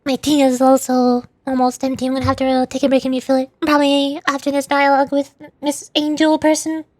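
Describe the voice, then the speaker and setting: A woman's voice: high-pitched, completely flat delivery, a little fast, darkish tone, dry recording. A woman streaming a game, chatting casually and often wondering aloud in questions. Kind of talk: casual livestream chat and reading game dialogue aloud.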